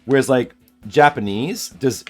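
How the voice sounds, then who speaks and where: A man's voice: medium pitch, unhurried, a little mellow, faint music behind. A man streaming a game and chatting casually, thinking aloud in a rambling way and answering chat messages.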